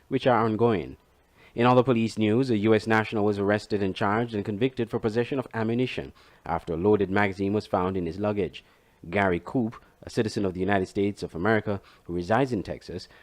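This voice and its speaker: A man's voice: lowish voice, a little mellow. A man reading scripted news reports as a reporter.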